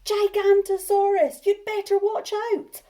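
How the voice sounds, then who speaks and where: A woman's voice: very high voice, little pitch movement, a little slow, mellow, voice pushed up. A woman voicing a mischievous young boy dinosaur in a storybook, boastful and teasing, crying out false alarms. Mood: mock alarm.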